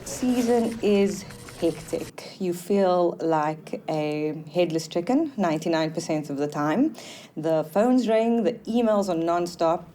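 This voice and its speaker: A woman's voice: fairly low pitch, slow pace, dark tone. A woman who works as a booker at a model agency, talking frankly and matter-of-factly in a documentary interview.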